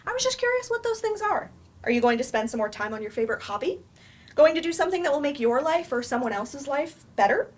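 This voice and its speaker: A woman plays a frank, exasperated female therapist in a staged drama. Her voice is high and very lively in pitch, with a pointed, challenging delivery. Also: fast. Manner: pointed, confrontational. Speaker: stage actor in a staged play reading. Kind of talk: staged play reading, dramatic dialogue. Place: library staged reading.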